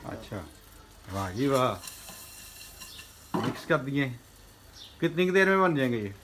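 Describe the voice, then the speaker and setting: A man's voice: medium pitch, very animated, measured pace. A man in his thirties filming a casual home vlog on his phone, narrating cheerfully to viewers and exclaiming often. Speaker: vlogger. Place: home kitchen and rooftop, indoors and out. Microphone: phone camera held in hand. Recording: noisy phone recording.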